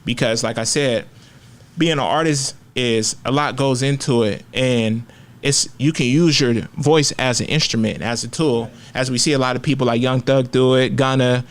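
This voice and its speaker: A man, talking candidly and with plenty of profanity and slang as a rapper guest in a casual interview. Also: medium pitch. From Oakland, California.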